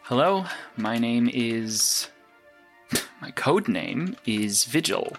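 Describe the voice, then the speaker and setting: A man playing a hesitant, self-conscious novice superhero operative, musing haltingly into an audio log in a drama. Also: medium pitch, lively intonation, soft background music.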